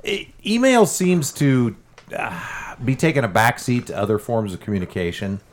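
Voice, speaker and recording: A man guesting on a radio talk show, joking in a loud, expressive way during casual chat. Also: medium pitch, moderate pace, slight background noise. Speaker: guest podcaster.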